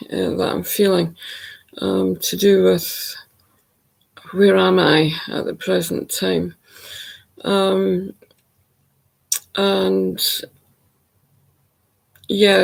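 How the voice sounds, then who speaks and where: A woman speaking in a slow, soft and hesitant reflective monologue, with a croaky voice and many pauses. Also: medium pitch, low-quality recording. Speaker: spiritual channel. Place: home.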